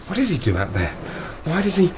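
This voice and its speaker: An actor playing a haunted, desperate man who survived an Arctic plane crash, halting and muttering to himself in an audio drama. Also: on the high side, wildly swooping pitch, very noisy.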